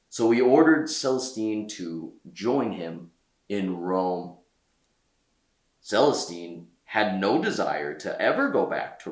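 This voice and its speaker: A man reading a children's story aloud as a storyteller, with theatrical delivery and deliberate pauses. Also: low voice, reverberant. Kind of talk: expressive read-aloud narration for children.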